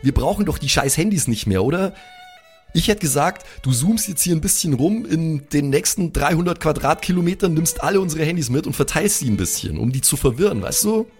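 A man's voice: a little high, lively intonation, fairly quick. A man improvising casually and slangily as a player in a tabletop roleplaying game. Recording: faint music behind the voice.

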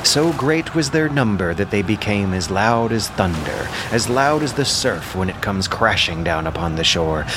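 Low-voiced man narrating an epic. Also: a bit bright, slight background noise. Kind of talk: scripted epic storytelling, audio drama.

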